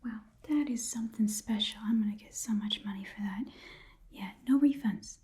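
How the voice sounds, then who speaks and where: A woman's voice: mid-range voice, normal speed. Whispering goblin shopkeeper, played by a woman. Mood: pleased, curious. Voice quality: breathy whisper. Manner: rambling, hesitant, repeats phrases softly. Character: haggling goblin pawnshop keeper, shifty, chatty and curious. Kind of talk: whispered ASMR roleplay. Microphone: close ASMR microphone.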